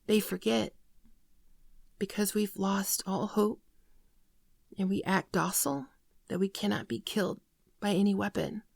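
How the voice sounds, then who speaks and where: A woman voicing a gentle, wounded shapeshifter alien in a soft, earnest, confessional ASMR roleplay, often close to a whisper. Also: mid-range voice, unhurried, creaky, pauses often, no room sound. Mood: grave, regretful. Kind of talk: ASMR roleplay monologue, scripted.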